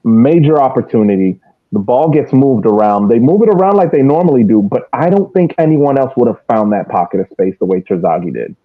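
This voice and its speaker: A man's voice: mid-range voice, animated, brisk. A thin, phone-like recording of a man with a mid-range voice. He talks soccer casually as a podcast host, emphatic and circling back to repeat his points. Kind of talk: casual match analysis. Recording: thin phone-like band-limited sound.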